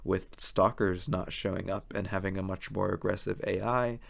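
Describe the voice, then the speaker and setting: A man hosting a video essay in a casual, opinionated commentary style, his voice hoarse and worn as if he is losing it. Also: low voice, fairly even intonation, moderate pace.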